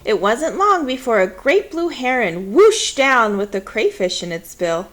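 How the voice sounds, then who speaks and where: Slow-paced woman reading a children's story. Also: on the high side, big pitch swings, a bit bright. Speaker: picture book reader. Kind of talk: children's story read aloud.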